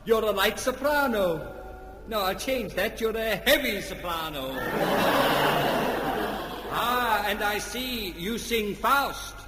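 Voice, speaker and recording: An actor playing a bumbling, self-important middle-aged dad in old-time radio comedy, hesitant and pompous. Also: male voice, sits high, expressive, takes it slowly. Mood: grandly commanding. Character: bumbling, self-important family dad dreaming he's a stage hypnotist. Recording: echoey old radio recording, faint hiss.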